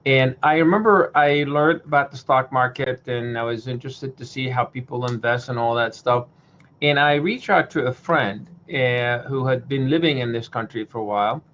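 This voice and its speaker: A man's voice: mid-range voice, moderate pace, a bit bright, slight background noise. Older man from Syria, dull audio. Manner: hesitant, many fillers and restarts. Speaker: webinar host. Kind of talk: casual remarks in Q&A.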